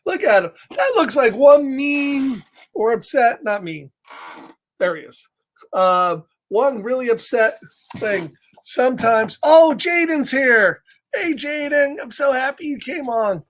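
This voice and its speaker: A man's voice: extremely high pitch, expressive, slow, a bit bright. A man reading a picture book aloud to children in a playful, chatty way, breaking off casually to address his young listeners. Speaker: children's storyteller.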